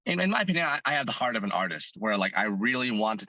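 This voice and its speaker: Hoarse male interview guest, bright-toned, earnest, thinks out loud. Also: on the low side, sing-song, fast, dry recording, highs cut off. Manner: earnest, thinking aloud with many restarts. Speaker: fitness content creator and business owner. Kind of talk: interview guest answering questions.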